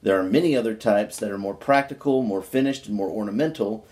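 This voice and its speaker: A man speaks in a somewhat low voice with an informal, folksy manner. He takes his time, as in a homemade video where someone chats casually and reads a book aloud. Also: fairly quick, darkish tone. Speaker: pipe-smoking video creator reading a book aloud. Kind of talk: casual chat, then reading a book aloud.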